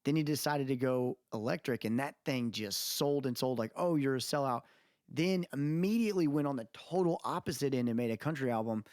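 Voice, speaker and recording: A man's voice: medium pitch, fairly quick, a little mellow, no echo. Male podcast co-host, mid-range voice, loose rambling opinion talk, dry recording. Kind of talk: casual podcast chat, opinionated music commentary.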